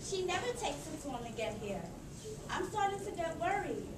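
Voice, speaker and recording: Woman as a very high-pitched fretful puppet granny. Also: very animated, moderate pace, crowd noise. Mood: worried. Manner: fretful, affectionate. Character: anxious, loving old grandmother puppet. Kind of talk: children's puppet show acting. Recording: distant echoey room with audience noise.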